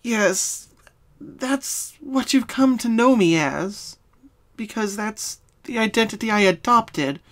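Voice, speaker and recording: A woman voicing a sorrowful young female cartoon pony in a fan story, speaking softly and solemnly with hesitations. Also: a little high, expressive, voice pushed up. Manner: soft, solemn, halting. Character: sad young female pony confessing her hidden identity.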